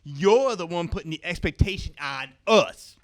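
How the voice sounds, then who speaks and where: A man's voice: high-pitched, animated, moderate pace. A man playing an indignant, confrontational member of an outlaw band in a comic radio play. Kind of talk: radio-play comedy acting.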